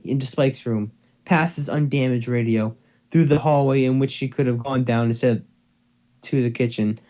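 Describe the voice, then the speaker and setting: A slightly muffled online-call recording of a man with a mid-pitched voice. He reads and hosts amateur fan fiction in a casual, unpolished way. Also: darkish tone. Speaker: narrator and co-host. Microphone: computer or headset mic on a voice call.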